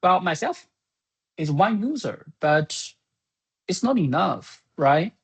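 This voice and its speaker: A software creator giving an informal spoken summary of business plans in a halting, think-aloud manner. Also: mid-range voice, big pitch swings, creaky, breathy edge.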